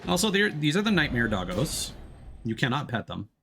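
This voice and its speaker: A man's voice: a little high, sing-song, fairly quick, background noise. A man giving casual live commentary on a video game as he chats with his viewers.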